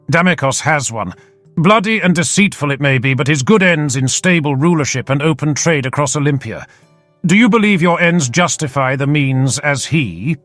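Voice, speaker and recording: A man's voice: on the high side, fairly bright tone, no echo, pitched above the performer's own voice. A man voicing a stern, cold warrior-genius who speaks bluntly and directly. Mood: forceful.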